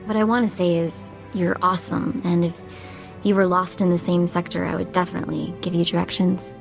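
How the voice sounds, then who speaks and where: A woman voicing a sassy, street-smart young sidekick in a video game, informal, expressive and sarcastic. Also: lowish voice, fairly quick, vocal fry, faint music behind. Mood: awkwardly warm.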